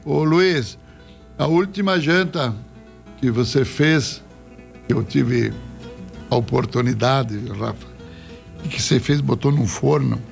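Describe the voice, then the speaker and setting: Gravelly, jokey southern Brazilian radio host. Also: male voice, medium pitch, slow pace, pauses often, soft background music. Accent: Rio Grande do Sul Portuguese. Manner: jokey, chatty, laughs easily. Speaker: radio host reading listener messages. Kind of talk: casual radio chat. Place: radio studio.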